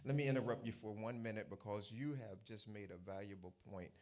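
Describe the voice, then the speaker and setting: A man with an American accent, a bishop, breaking in to add measured, affirming pastoral advice during a ministers' session. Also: fairly low pitch, a little mellow, soft background music. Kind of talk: interjecting commentary. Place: church hall, on a microphone.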